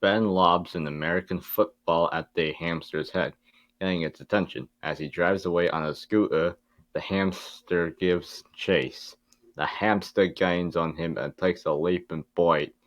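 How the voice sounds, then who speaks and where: Deep-voiced man reading stage directions. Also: fairly even intonation, measured pace, no echo. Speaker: table read narrator.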